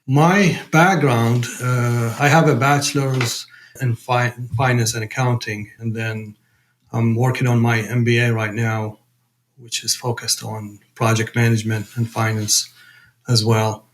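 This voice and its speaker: A man's voice: medium pitch, little pitch movement, unhurried, rough recording. A man from Iraq with an Iraqi accent, talking conversationally as a podcast guest and searching for his words. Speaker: podcast guest, program director. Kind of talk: conversational personal account.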